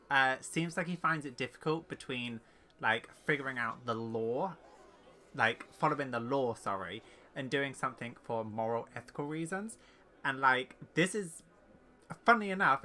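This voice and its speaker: A man giving casual, halting commentary on a game as he thinks aloud, with music underneath. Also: mid-range voice, pushed and bright. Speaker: gaming streamer.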